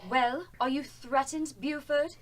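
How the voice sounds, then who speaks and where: Woman doing stubborn girl mob boss, pretty high, radio drama w/ faint music. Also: steady tone, unhurried. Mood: defiant. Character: curt young woman gang boss, stubborn and wistful. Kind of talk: student radio drama acting.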